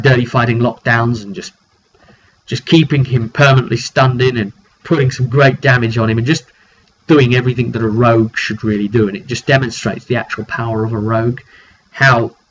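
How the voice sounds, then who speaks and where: A man's voice: mid-range voice, a bit bright. A British man casually recounting a tabletop roleplay session as game master, telling it off the cuff with dry, wry asides. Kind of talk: casual unscripted recap to camera. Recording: dull lo-fi sound with clear background noise.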